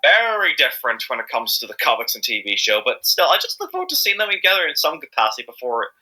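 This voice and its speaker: A man's voice: mid-range voice, wildly swooping pitch, quick pace, pushed and bright. A man chatting casually as an interviewed amateur voice actor, rambling and often repeating himself hesitantly.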